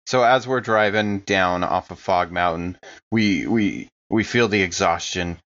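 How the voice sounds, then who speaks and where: Low-pitched man, slightly muffled. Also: a little slow, fairly bright tone. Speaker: player in a tabletop game. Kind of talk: casual improvised in-character narration.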